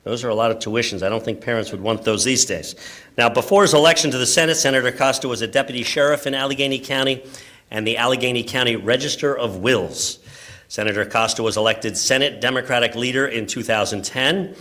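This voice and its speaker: A man's voice: medium pitch, fast talker, sharp tone. An American man hosting a press club luncheon, giving a speaker introduction with wry asides. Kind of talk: event introduction speech. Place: luncheon event room, speaking to an audience.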